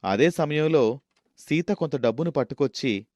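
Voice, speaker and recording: A man's voice: a little high, animated, moderate pace, darkish tone, no room sound, clean studio sound. A man narrating a scripted story as a storyteller.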